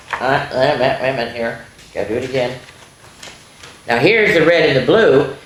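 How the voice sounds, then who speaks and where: A woman hosting a children's story time, reading a picture book aloud in an animated, sing-song, question-asking way. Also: deep voice, a little hiss or hum. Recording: roomy, echoey indoor sound.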